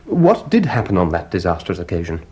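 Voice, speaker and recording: A blustering, upper-class English gentleman in a radio farce. His voice is very husky and swoops about as he grumbles, flustered and dryly facetious. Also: low-pitched, huge pitch swings, fairly quick, full character voice. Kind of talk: stage farce radio acting.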